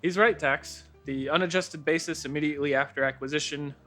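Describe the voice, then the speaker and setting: A man's voice: on the high side, fairly even intonation, fairly quick, sharp tone, soft background music. A man playing a precise know-it-all bar regular who explains rules and reels off lists. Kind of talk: noir parody acting.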